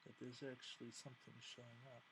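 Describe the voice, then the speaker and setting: A man's voice: medium pitch, flat delivery, fairly quick. A man hosting a tabletop miniatures game stream, talking casually and thinking aloud with hesitations as he goes. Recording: clear background noise.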